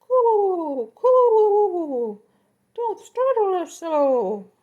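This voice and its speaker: Woman doing high cooing silly pigeons. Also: very animated, drawn-out words, phone-quality sound, heavily acted. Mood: startled.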